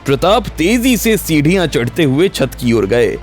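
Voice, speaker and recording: A man's voice: a little high, sing-song, normal speed. A man narrating a scripted audio story in the role of storyteller. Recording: background music under the voice.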